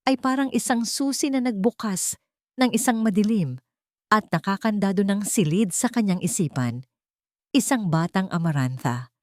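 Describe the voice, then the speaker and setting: A woman's voice: low-pitched, darkish tone, no room sound, clean studio sound. A woman with a Filipino accent reading a scripted dramatic story as its narrator.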